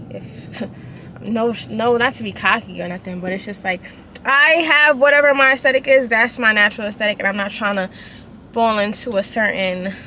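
A woman's voice: medium pitch, bright, forceful tone. Young woman vlogger, casual and slangy, close camera mic, road noise behind her. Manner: chatty, slangy, encouraging. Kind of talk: casual vlog talk to camera. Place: car, then empty apartment. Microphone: handheld camera close up. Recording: noisy car then echoey room.

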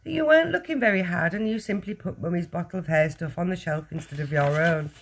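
A woman voicing a composed, sly little girl in a children's storybook, speaking quietly and innocently. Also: lowish voice, quick pace, breathy edge. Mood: calm, innocent. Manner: quiet, calm, matter-of-fact.

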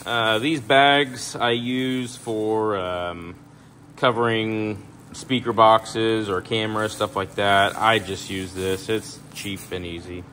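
A young man casually walking through his work trailer, talking in a relaxed, rambling way with lots of ums. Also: lowish voice, slow pace, a little hiss or hum. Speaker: pressure washing business owner. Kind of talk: casual walkthrough tour. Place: indoor metal trailer, shop garage. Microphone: handheld phone camera.

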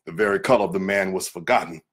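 A man giving a dramatic reading of a nineteenth-century memoir, with measured, oratorical phrasing. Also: low voice, a bit bright, slightly rough. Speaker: reader of memoir excerpts.

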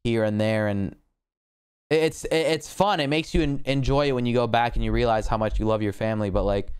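A young man hosts a casual podcast chat, talking loosely with plenty of slang and jokes. His voice comes through very smooth and clear on a close boom mic. The sound is dry, with no room around it. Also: darkish tone, no echo. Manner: casual, slangy, quick to joke. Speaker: podcast host. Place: home living room with a flag on the wall. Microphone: boom mic on arm close to mouth.

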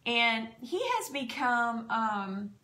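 Woman, middle-aged, pastor, fervent prayer, fairly high voice, unhurried. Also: background noise. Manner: fervent, prayerful, citing scripture verses. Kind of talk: spoken prayer and testimony on a church broadcast. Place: home dining room. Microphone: desk podcast microphone.

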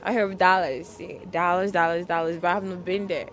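A woman's voice: lowish voice, steady tone, a little fast. A woman in her twenties being interviewed outdoors, giggly and boastful, answering into a handheld mic. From Nigeria and Morocco. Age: 20s. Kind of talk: comic street interview. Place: outdoor terrace.

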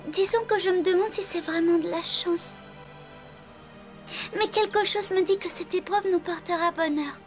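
A woman's voice: very high-pitched, moderate pace, soft background music. A woman voicing a brave, thoughtful little girl with a sad streak in a French cartoon dub, earnest in manner. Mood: wistful.

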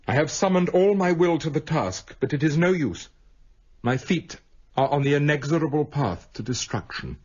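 A slightly muffled radio play recording of a proud, anguished gentleman detective. His husky voice sits a little high and moves dramatically up and down. The delivery is formal and theatrical. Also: animated, moderate pace. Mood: anguished despair.

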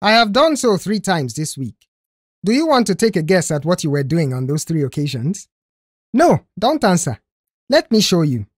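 A woman's voice: lowish voice, big pitch swings, moderate pace, breathy edge, no echo, clean studio sound. Woman playing a stern, exasperated garage boss uncle. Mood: sarcastic scolding. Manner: scolding, sarcastic questioning.

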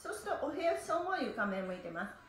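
A woman's voice: high voice, big pitch swings, a little fast, fairly bright tone, slight background noise. A middle-aged woman teaching a live-streamed stretch lesson, cheerful, playful and chatty, laughing often. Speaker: stretch instructor. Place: small room at home, on a floor mat. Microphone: camera across the room. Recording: roomy echo, far from the mic, faint music.

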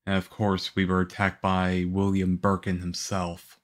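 Man acting as low, unhurried, reassuring agent. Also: monotone. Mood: reflective. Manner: soft-spoken, unhurried, reassuring. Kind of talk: ASMR roleplay.

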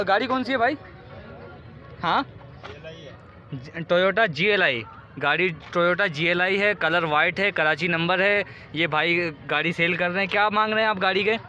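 A man with a Karachi Urdu accent chats casually while filming cars at an outdoor bazaar, asking sellers questions and repeating their answers. His voice sits high and sounds bright and forward. It is picked up by a handheld phone outdoors. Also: muffled recording. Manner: repeats prices and details back, asks sellers questions. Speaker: vlogger touring a car market. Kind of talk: casual walkaround car review. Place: outdoor car bazaar among crowds.

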